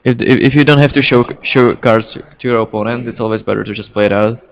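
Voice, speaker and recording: A man with a Czech accent casually commentating live on a card game, haltingly and often repeating his words. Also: mid-range voice, fairly even intonation. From Czech Republic. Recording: dull, lo-fi stream audio.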